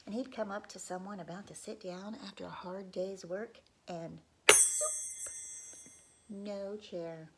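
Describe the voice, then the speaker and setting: A woman reading a folk tale aloud to children, pausing to ask them questions and dropping into whispers. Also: medium pitch, fairly even intonation, very noisy. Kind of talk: children's read-aloud storytelling.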